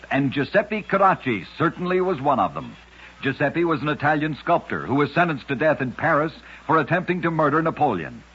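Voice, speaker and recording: A man hosting an old-time radio program, narrating scripted strange tales in a dramatic, deliberate storyteller's delivery. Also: on the high side, fairly bright tone, slightly rough. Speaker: radio host and narrator of oddities. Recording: dull lo-fi vintage radio recording.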